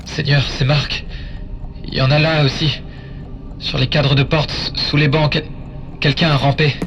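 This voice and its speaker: Man playing an uneasy soldier, high-pitched, dull sound. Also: little pitch movement, fairly bright tone. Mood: uneasy, alarmed. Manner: hesitant, halting. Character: uneasy male soldier reporting to his lord. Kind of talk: science-fiction audio drama acting. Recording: dull, band-limited sound.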